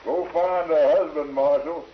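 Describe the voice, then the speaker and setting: A man's voice: on the high side, steady tone, measured pace, close to an everyday voice. A man playing a cocky, menacing outlaw with taunting frontier talk in a 1950s western radio drama. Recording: dull, hissy old radio recording.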